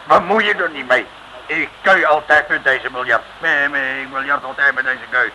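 An actor playing a big, gruff, irritable male billiard player who grumbles bluntly in a 1960s radio comedy. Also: mid-range voice, moderate pace, sharp tone, poor audio. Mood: irritated. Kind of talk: 1960s Dutch radio comedy serial acting. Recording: old radio recording, faint noise.

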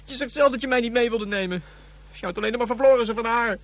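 A man's voice: very high voice, fast. A male actor playing a frightened, accusing young boy in a radio drama, speaking in emphatic, repetitive childlike phrases. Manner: childlike emphatic stacked words, repeating himself.

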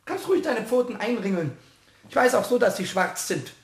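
A man playing a cheeky, childish little kobold in a German children's radio play, with a squeaky voice, boastful, easily offended and stammering when worked up. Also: sing-song, a little fast, vocal fry, reverberant, slight background noise, full character voice. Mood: scornful, taunting.

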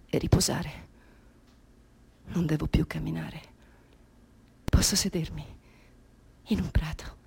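Husky anguished, defiant mother. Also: low voice, warm tone, heavily acted. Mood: tender, weary, hushed. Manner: intense, theatrical, breaking into whispers. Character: anguished, defiant grieving mother, swinging from outcry to whisper. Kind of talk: dramatic radio theatre monologue.

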